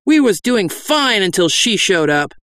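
A woman voicing a big, dim male bandit brute in a fantasy audiobook, blunt and belligerent with rough grammar. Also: mid-range voice, sing-song, measured pace, fairly bright tone, no room sound, studio quality, pitched above the performer's own voice. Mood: belligerent, petulant. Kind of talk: fantasy audiobook character acting.

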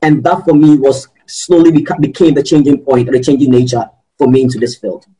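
A man's voice: mid-range voice, fairly even intonation, a little fast, dark tone, slightly rough, low-quality recording. A man who heads a peacekeeping training center, speaking earnestly in a panel discussion and repeating words.

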